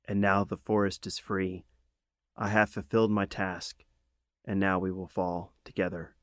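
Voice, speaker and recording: A man voicing a small, valiant lizard warrior who speaks quietly and solemnly to himself in a children's fantasy audiobook. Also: sits low, level tone, a little slow, no room sound, studio quality. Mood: solemn relief.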